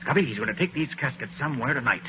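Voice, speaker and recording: An actor playing a fearless master detective in an old-time radio drama, brisk and decisive. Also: male voice, medium pitch, lively intonation, close to an everyday voice. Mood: resolute. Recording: muffled, very noisy old radio recording.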